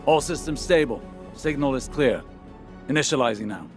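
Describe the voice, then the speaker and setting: An actor playing a composed male scholar in a video game, with a measured, serious and dry manner. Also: mid-range voice, lively intonation, faint music behind, lightly acted. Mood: focused. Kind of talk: video game cutscene acting.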